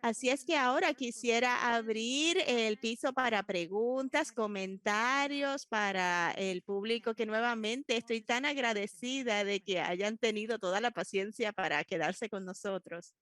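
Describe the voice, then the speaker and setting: A woman working as a simultaneous interpreter for a panel discussion, speaking in a halting way with frequent restarts and self-corrections as she keeps up with the speakers. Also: on the high side, a bit bright, no echo.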